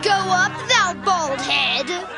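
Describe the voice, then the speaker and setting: A performer playing a jeering little child, taunting in a drawn-out chant in an audio Bible drama. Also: extremely high pitch, lively intonation, loud music behind the voice, heavily acted. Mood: mocking.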